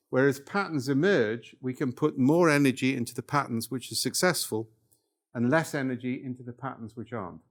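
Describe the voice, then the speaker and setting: An older man from Wales giving a live workshop lecture, talking it through conversationally and checking in with the room. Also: medium pitch. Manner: conversational, checks in with "yeah?" and "right?".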